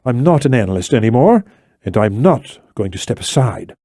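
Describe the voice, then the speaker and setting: Determined female operative played by a male narrator, emphatic audiobook dialogue, animated, dry sound. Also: medium pitch, moderate pace, no echo. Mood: indignant. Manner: insistent, emphatic. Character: determined, defensive young female intelligence operative.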